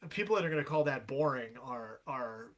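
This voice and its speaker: A man in his thirties, a guest on a podcast, chatting in a relaxed way with frequent laughs and fillers. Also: medium pitch, normal speed. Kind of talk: casual chat.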